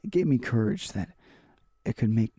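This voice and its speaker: A man hosting a gaming video, reading a visual novel aloud in a halting way, stumbling and repeating phrases. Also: mid-range voice, lively intonation, dark tone, breathy edge, no room sound, studio quality.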